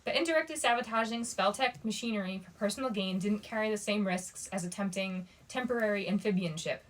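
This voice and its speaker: A woman's voice: medium pitch, brisk, close to an everyday voice, poor audio. A woman voicing a bold, curious and cynical sixteen-year-old girl in a storybook, in a casual manner. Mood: confident.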